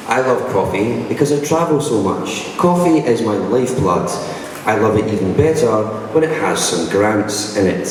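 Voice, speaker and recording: A man with a Scottish accent presents to a crowd at a launch event, wry and self-mocking as he talks. He sounds distant from the microphone, and his voice echoes around a large hall with a long tail of reverb. Steady background noise sits under the speech. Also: medium pitch. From Scotland. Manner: dry self-deprecating humour.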